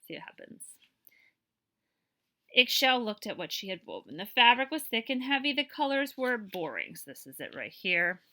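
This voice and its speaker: A woman librarian reading a picture book aloud to children, with expressive storytelling and explanatory asides and questions for her listeners. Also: on the high side. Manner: expressive storytelling, pausing to check understanding with listeners.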